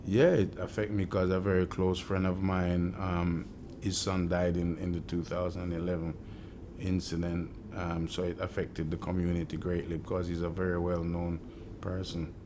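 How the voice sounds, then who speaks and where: A middle-aged Jamaican man telling his life story in an interview, earnest and reflective, pausing often with ums and restarts. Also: low voice, a little mellow, a little hiss or hum.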